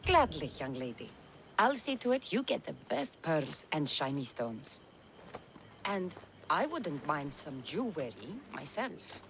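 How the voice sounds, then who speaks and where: A woman's voice: sits low, very animated, measured pace, slight background noise, full character voice. An actress playing a tough, wry female mercenary in a video game, blunt and dry in manner. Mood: amused, kind. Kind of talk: video game cutscene acting. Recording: music behind the voice.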